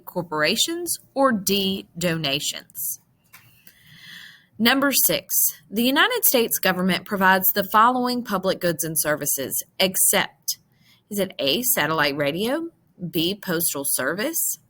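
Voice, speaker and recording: Bright-voiced woman reading a quiz aloud. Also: medium pitch. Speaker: teacher. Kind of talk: reading a multiple-choice test aloud for students.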